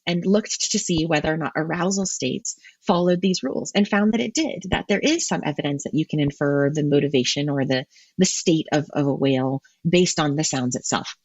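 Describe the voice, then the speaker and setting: Woman guest, husky voice, explains science thoughtfully with many restarts, dull muffled audio. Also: deep voice, dry recording. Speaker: guest marine ecologist. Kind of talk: podcast interview answers.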